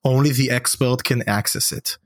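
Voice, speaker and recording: A man's voice: mid-range voice, steady tone, moderate pace, dry recording, clean studio sound. A man who is a native Hebrew speaker talks through ideas in a discursive, conversational way, often checking in with a questioning tag. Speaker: podcast guest, essayist.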